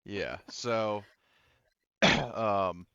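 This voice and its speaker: A man running an online tabletop game, narrating casually in a halting, informal way with some swearing, over voice-chat audio. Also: on the low side, sharp tone, clean tone, dry recording, dull audio. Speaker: game master.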